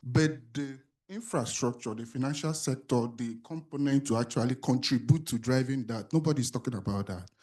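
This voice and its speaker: A man's voice: medium pitch, moderate pace, hardly any pauses, mellow. Man asking a long question. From Nigeria. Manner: hesitant, many fillers, builds a long argument. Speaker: audience questioner. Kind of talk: conference floor question. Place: conference auditorium.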